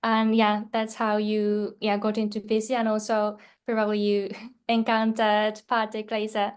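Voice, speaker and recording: A woman's voice: mid-range voice, monotone, measured pace, smooth. A woman hosting a webinar interview, politely introducing her guest and asking questions. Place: webinar video call.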